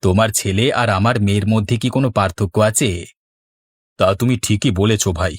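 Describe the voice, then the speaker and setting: A man's voice: fairly low pitch, normal speed, breathy edge, dry recording. A man playing a kindly, sensible middle-aged village father in a children's cartoon, earnest and reassuring. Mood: grateful, warm.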